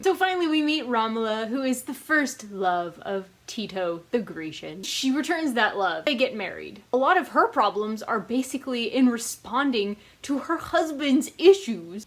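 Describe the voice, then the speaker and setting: A woman giving a casual, chatty book review as host of her own channel, with an animated, emphatic way of talking. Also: fairly high pitch. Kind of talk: casual scripted-loose book review to camera.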